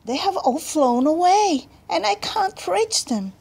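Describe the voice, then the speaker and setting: A woman voicing a tiny, gentle five-year-old girl in a storybook, speaking in a polite, pleading manner. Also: on the high side, animated, unhurried, slightly rough, full character voice. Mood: upset, pleading.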